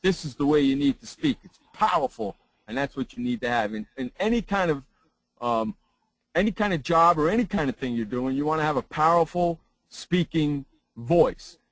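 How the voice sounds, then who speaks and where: A man who trains broadcasters, giving a lesson in a loud, emphatic way and repeating phrases for effect. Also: fairly high pitch, expressive, normal speed, dry recording, low-quality recording. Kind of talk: casual chat turning into a spoken lesson.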